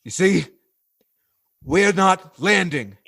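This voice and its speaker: Casting: man as agitated radio-play pilot, fairly high voice, slow emphatic delivery, widely swinging inflection, exasperated and commanding. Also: lively intonation, gravelly, a bit bright, heavily acted. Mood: frantic, insistent. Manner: emphatic, halting, insistent. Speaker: voice actor. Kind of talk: 1940s radio drama acting.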